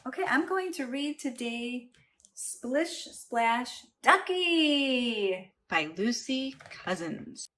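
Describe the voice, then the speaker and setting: A woman's voice: sits high, animated, drawn-out words, sharp tone. A woman hosting storytime for young children, talking in a playful, sing-song way and prompting them to join in with questions. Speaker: children's storytime host at a library. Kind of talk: read-aloud and playful talk for young children.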